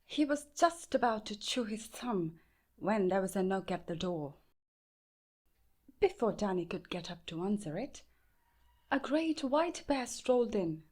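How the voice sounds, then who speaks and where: A woman with an Indian accent reading a children's bedtime story aloud in a measured, expressive way. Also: mid-range voice. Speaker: storybook reader.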